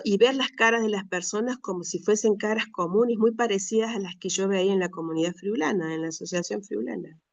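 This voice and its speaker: A middle-aged woman with an Argentine Spanish accent gives an earnest, measured personal talk with frequent pauses and restarts. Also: medium pitch, a little mellow, no echo. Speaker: speaker, retired school director.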